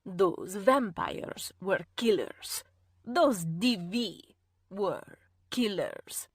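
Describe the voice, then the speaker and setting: A woman reader voicing a cold, stern, self-righteous woman who speaks slowly and calmly, sometimes in a whisper. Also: medium pitch, slightly rough, no room sound, studio quality, exaggerated voice. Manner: slow, calm, measured, at times whispered. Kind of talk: audiobook character voice.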